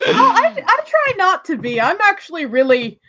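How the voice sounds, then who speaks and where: A woman, Spanish her first language, chatting casually on a voice call, talkative and fond of arguing, with quick laughs. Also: extremely high pitch, huge pitch swings, pushed and bright, a little hiss or hum. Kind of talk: casual voice chat.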